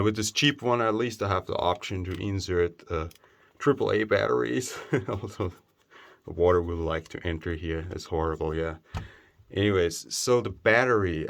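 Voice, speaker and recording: A man giving a casual, unscripted product review, speaking in a plain, opinionated way with halting phrasing. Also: sits low. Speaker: gear review presenter.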